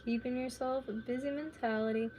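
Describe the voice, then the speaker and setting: A woman in her late twenties, slightly raspy from talking, chatting casually and rambling while she crafts at home on a live stream. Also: a little high, fairly even intonation, fairly quick, darkish tone, a little hiss or hum, rough recording. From North America. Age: late 20s. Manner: rambling, chatty, laid-back with filler words.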